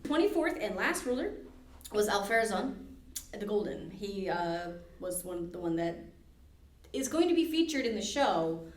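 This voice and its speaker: A woman's voice: mid-range voice, very animated, moderate pace, darkish tone, far from the mic. Chatty woman podcast host, roomy sound. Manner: chatty, many fillers and restarts. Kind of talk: casual chat with trivia quiz. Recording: roomy echo.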